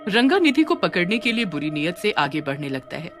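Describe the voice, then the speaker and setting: A woman narrating a scripted cartoon story as an expressive storyteller. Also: on the low side, fairly quick, soft background music.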